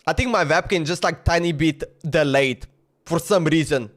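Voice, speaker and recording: A man chatting casually on a live game stream, speaking haltingly and repeating himself. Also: a little high.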